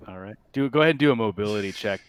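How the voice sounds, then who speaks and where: A man acting as game master, describing scenes in a casual, halting, improvised way during a tabletop game. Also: fairly low pitch, animated, smooth.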